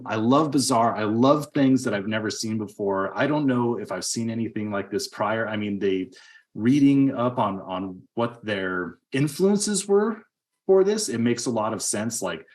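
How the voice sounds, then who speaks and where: A man in early middle age co-hosting a podcast, talking casually about films in a halting, rambling way. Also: on the low side, expressive, darkish tone. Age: 30s or 40s. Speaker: podcast co-host. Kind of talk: casual film discussion.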